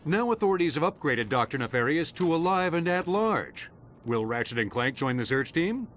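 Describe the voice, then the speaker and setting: Animated, slick TV news anchor. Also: male voice, on the high side, normal speed, a little hiss or hum. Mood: grave, dramatic. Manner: dramatic broadcast delivery. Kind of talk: game cutscene acting, news broadcast parody.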